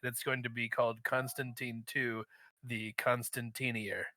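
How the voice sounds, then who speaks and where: A man with a bright, sharp voice at a medium pitch, recorded very dry. He co-hosts a casual livestream, bantering playfully and spinning bits with mock seriousness. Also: little pitch movement, moderate pace, no echo. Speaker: guest co-host.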